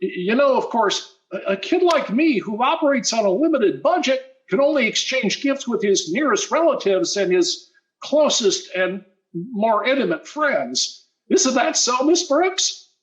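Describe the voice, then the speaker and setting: A man's voice: very high voice, very animated, measured pace, exaggerated voice, low-quality recording. A man playing a wheedling teenage schoolboy in old-time radio sitcom style, stammering and mock-modest. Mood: eager hinting. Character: wheedling teenage schoolboy, eager, guileless-sounding schemer.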